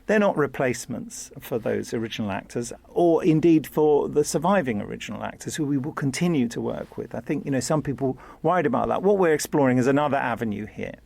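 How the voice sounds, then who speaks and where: Creaky-voiced man explaining. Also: mid-range voice, expressive, quick pace, few pauses, a little mellow. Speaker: audio drama maker. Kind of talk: casual interview talk.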